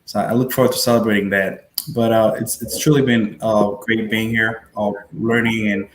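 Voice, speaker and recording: Haitian-raised man, halting, echoey room. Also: lowish voice, little pitch movement, slight background noise. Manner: halting, many fillers and repeated words. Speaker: panel guest, hospital administrator. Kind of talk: self-introduction on a webinar panel.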